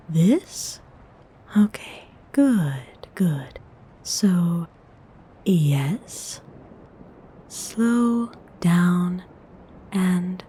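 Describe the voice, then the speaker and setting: Woman whispering as a slow, breathy vampire noblewoman. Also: fairly low pitch, dark tone, exaggerated voice. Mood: gentle, pleased. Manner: slow, measured, formal, intimate. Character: centuries-old female vampire noble, courteous, possessive, gently commanding. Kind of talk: ASMR roleplay, whispered.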